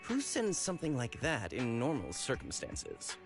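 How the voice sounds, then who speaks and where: An actor playing an excitable, friendly but anxious male middleman in a video game, informal and expressive. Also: mid-range voice, normal speed, creaky. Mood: worried. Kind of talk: scripted video game voice acting. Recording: game audio with music underneath. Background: background music.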